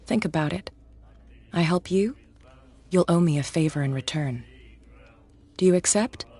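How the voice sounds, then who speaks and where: Shrewd tough gang boss, cool and menacing, cuts his deals slowly. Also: a little high, slightly rough. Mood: bargaining. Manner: calm, threatening, businesslike. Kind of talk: video game voice acting.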